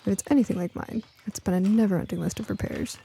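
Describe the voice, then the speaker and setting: A woman's voice: mid-range voice, quick pace, gravelly, warm tone, a little hiss or hum, natural voice. A woman voicing a spry, feisty older female neighbor in an audiobook, with a folksy, bossy and affectionate way of talking. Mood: curious.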